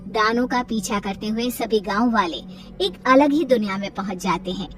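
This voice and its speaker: A woman's voice: medium pitch, normal speed, a bit bright, far from the mic, faint music behind. A woman narrating a scripted cartoon story.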